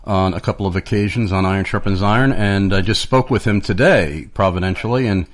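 Man, lowish voice, radio host, measured, hesitant fillers. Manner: plain, measured delivery with frequent "uh" fillers. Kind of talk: radio interview.

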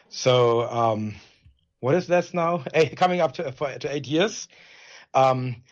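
German-accented male pastor, slightly muffled audio. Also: mid-range voice. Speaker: pastor, interview guest. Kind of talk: conversational interview answers.

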